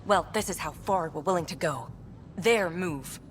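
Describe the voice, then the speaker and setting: A woman's voice: fairly low pitch, normal speed, a little hiss or hum, close to an everyday voice. An actress playing a defiant, resolute grandmother protester in a video game. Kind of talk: scripted game dialogue.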